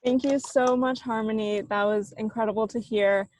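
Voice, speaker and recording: A woman's voice: on the high side, fairly even intonation, moderate pace, a little mellow, a little hiss or hum. A woman hosting an online event, introducing speakers in a friendly, loose way. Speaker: event host.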